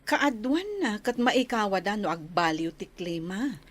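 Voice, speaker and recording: A woman acts out a village nurse in a radio drama. She has a medium-pitched voice and explains things in a caring, concerned manner. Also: lively intonation, unhurried. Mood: caring, explaining. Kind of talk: Ilocano radio drama acting.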